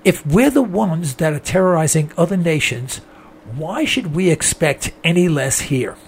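A man hosting a call-in radio talk show, speaking in a rambling, folksy monologue while hoarse from a throat infection. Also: on the high side, animated, moderate pace, slight background noise. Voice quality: strained, gravelly voice.

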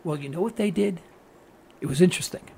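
A man's voice: sits high, fast talker, creaky, darkish tone, husky. A man with a New York accent hosting a political talk radio show, delivering an earnest, preachy monologue that repeats phrases for emphasis.

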